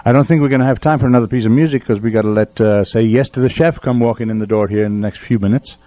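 A man's voice: mid-range voice, racing, mellow, no echo. A man hosting a radio show from the studio, chatting casually and sentimentally. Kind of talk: casual, sentimental farewell chat.

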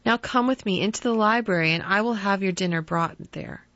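Soft loving mother, pitched way up and earnest, dry lo-fi audiobook as a woman reads her. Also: a little fast, no echo, lightly acted. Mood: gentle. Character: tender pleading mother, middle-aged woman. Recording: dull lo-fi dry recording.

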